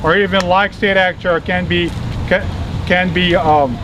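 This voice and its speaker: A man from Iraq, giving a formal protest speech at an outdoor rally, measured and correcting himself as he goes. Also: sits high, steady tone. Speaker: rally speaker. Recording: noisy outdoor recording.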